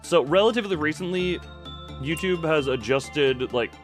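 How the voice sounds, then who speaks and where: A man's voice: fairly high pitch, lively intonation, moderate pace, soft background music. A man giving casual livestream commentary on a game, rambling and breaking off his own sentences with wry asides. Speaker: video game streamer.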